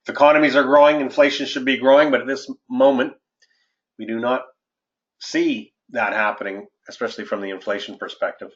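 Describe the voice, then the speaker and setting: A man delivers formal, measured market commentary as the host of an investment webinar. His voice sits at an ordinary male pitch, and the audio is dull and lo-fi, like a conference call. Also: fairly even intonation. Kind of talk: webinar presentation, partly scripted market commentary. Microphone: conference call line.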